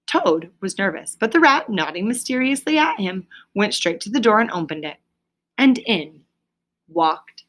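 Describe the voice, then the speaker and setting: A woman's voice: mid-range voice, a bit bright. A woman reading a children's story aloud expressively, as a storyteller who explains things to a listener. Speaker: reader, storyteller.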